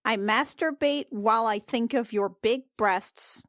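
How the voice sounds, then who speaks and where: A woman's voice: a little high, a little slow, a bit bright, dry recording, studio quality. A woman in improvised comedy banter, asking questions with mock bafflement. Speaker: book club podcast performer.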